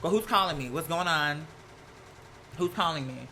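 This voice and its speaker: A live stream host with an American accent chatting casually and gossiping, sassy and animated, slangy and rambling from one aside to the next. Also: medium pitch, normal speed, a little hiss or hum. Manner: sassy, slangy, peppered with "girl" and "honey". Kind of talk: casual live chat to viewers, gossipy commentary.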